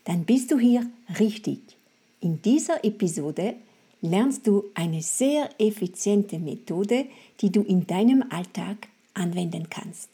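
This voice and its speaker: A woman, a native French speaker with a French accent, presents a language lesson as a podcast coach, speaking to the listener directly in deliberate, instructive phrases. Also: mid-range voice, lively intonation, dark tone, slightly rough.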